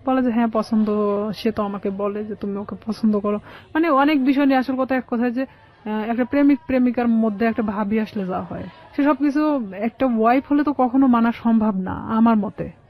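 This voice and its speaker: A woman's voice: fairly high pitch, normal speed. Female interview guest, Bangladeshi accent, personal roundabout storytelling, dull lo-fi sound. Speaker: guest telling her life story.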